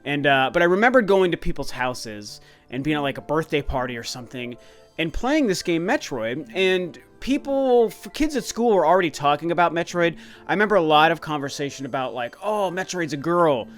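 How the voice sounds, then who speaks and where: A man's voice: a little high, expressive, fairly quick, soft background music. Enthusiastic man in his thirties. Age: 30s. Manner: enthusiastic, rambling with restarts and fillers. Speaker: video game channel host. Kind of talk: casual vlog talk.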